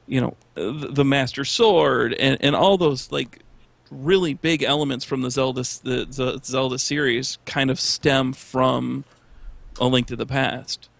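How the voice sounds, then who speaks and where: A man speaking haltingly in a casual discussion about games. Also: mid-range voice, vocal fry, fairly bright tone, no echo.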